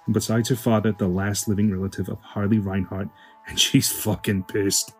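A man's voice: lowish voice, little pitch movement, normal speed, faint music behind, natural voice. A man playing a wry, streetwise fixer in an audio drama, stammering and cursing as he talks in slang. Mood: wry, confiding. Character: shady male store-running fixer, wheedling, wry, streetwise.

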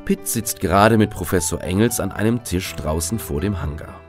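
A man playing the calm, measured narrator of a children's radio adventure, reading scripted narration. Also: deep voice, soft background music, close to an everyday voice. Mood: narrating.

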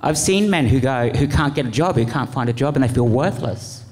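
A man speaking informally as a panelist, earnest and impassioned, in a halting, rambling way. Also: mid-range voice, lively intonation, darkish tone. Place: large event venue, live panel. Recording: echoey hall with long reverb.

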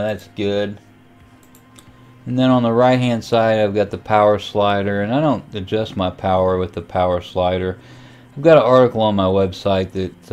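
A man giving an informal, step-by-step hobbyist walkthrough of software and equipment. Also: low-pitched. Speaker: amateur radio hobbyist giving a tutorial. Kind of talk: casual software and radio walkthrough.

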